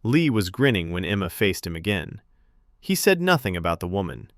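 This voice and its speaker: A man's voice: low voice, big pitch swings, moderate pace, no echo, clean studio sound. A man reading scripted fiction narration as a storyteller.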